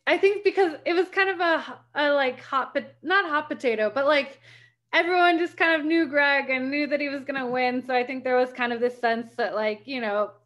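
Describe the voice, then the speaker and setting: A woman's voice: high voice, a bit bright. A young woman giving a rambling, filler-heavy report on a video call from home. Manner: rambling, many fillers and restarts. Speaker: campaign volunteer reporting back. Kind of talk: casual meeting report. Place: home room. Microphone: laptop webcam video call.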